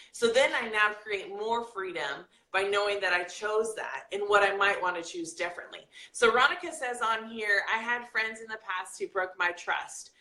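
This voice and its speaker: Bright-voiced woman coaching in an echoey room. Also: medium pitch. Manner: lively, reads viewer comments aloud, turns soft and slow for the breathing exercise. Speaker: life coach hosting a live video. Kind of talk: coaching talk and guided breathing.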